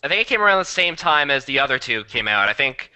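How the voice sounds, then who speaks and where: A man's voice: medium pitch, sing-song, quick pace, bright, forceful tone, clear, resonant tone. A man in a casual, opinionated podcast discussion, speaking in an exasperated, emphatic and mocking way. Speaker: podcast panelist.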